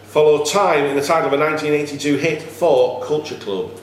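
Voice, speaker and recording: A man's voice: a little high, brisk. A man acting as quiz master, reading questions aloud to contestants in a live quiz. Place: echoey indoor room at a cricket club. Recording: echoey room, faint background noise.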